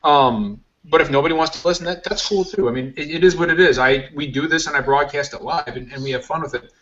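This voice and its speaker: A man's voice: a little high, quick pace, a bit bright, highs cut off, a little hiss or hum. A man hosting a casual podcast, rambling and joking about himself. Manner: rambling, self-mocking, joking. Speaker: podcast host. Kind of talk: casual chat.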